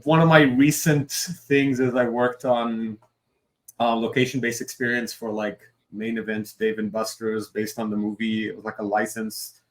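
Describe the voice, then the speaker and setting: A man in his forties from Israel, a Hebrew speaker, talking casually as an interview guest, rambling with fillers and asides. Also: medium pitch. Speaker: guest, creative director and comic writer.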